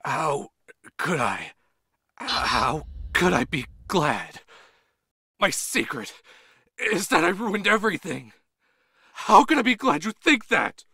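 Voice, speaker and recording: A man voicing a tormented teenage tough guy in a fan manga dub, with a rough, intense, brooding delivery. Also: on the high side, very animated, takes it slowly, long pauses, sharp tone, no room sound, clean studio sound, exaggerated voice. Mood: brooding, bitter. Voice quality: rough, gravelly.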